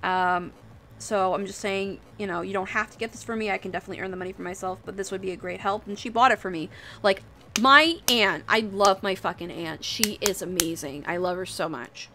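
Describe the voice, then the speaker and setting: A woman's voice: medium pitch, fairly quick, sharp tone, slight background noise. A woman chatting casually and informally as a livestream host, with an encouraging manner. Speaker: livestream host and digital artist. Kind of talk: casual livestream chat while drawing. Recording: music playing behind the voice. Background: music.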